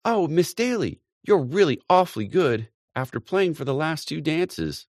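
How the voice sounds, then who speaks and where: Man putting on a busy party hostess, high gushing chatter, eager, lively swings, dry close sound, storybook. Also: moderate pace, dry recording. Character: older woman bustling hostess, eager and gushing.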